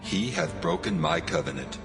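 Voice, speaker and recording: A man's voice: low voice, animated, normal speed. A man voicing God as a solemn, commanding deity in a declamatory dramatized scripture reading. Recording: long cavernous reverb, loud music behind.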